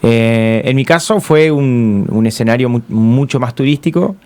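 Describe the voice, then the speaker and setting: A man's voice: medium pitch, unhurried, darkish tone. A man with an Argentine accent, casually recounting his own experience as a guest in an interview. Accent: Argentine Spanish.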